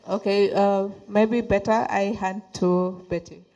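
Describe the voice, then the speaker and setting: A woman speaking on a panel, hesitant and halting with many fillers. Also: medium pitch, steady tone, mellow, lo-fi sound. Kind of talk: unscripted panel remarks.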